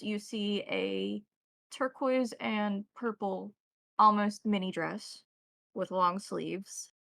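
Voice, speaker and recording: A woman game master narrating scenes descriptively and deliberately in a tabletop roleplaying game. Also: medium pitch, gravelly, a bit bright, no echo.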